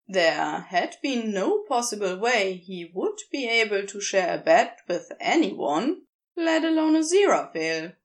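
A woman reading scripted fiction as a narrator, in an expressive storytelling style. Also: fairly high pitch. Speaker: podfic narrator.

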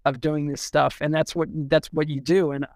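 A man in a casual podcast discussion as an editor, talking in a halting, rambling way and repeating words as he forms thoughts. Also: a little high, level tone, darkish tone, no echo, studio quality. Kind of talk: casual discussion with a short read-out.

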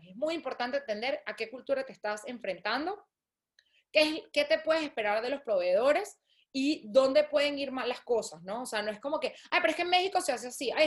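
A woman from Venezuela, a guest telling stories in a casual interview, animated and often acting out other people's words. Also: fairly high pitch. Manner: lively, acts out quoted people, laughs often. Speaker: guest, shoe designer.

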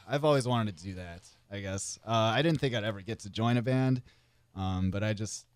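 Low-voiced young man, relaxed chat. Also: normal speed, clean tone. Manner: easygoing, joking, with frequent fillers and laughs. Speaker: guest, singer in a cover band. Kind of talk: casual interview chat.

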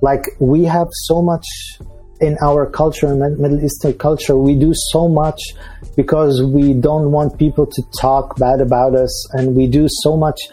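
Syrian guy chatting, halting and restarting a lot, dark mellow husky tone, faint music behind. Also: fairly high pitch, little pitch movement, low-quality recording. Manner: halting, many restarts and fillers. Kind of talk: casual conversation.

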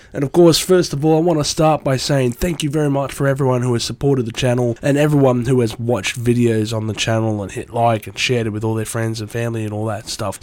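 A man's voice: on the high side, darkish tone, no echo. Man with a cold, music review podcaster, enthusiastic and rambling, dry close mic. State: has a cold. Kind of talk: solo podcast commentary.

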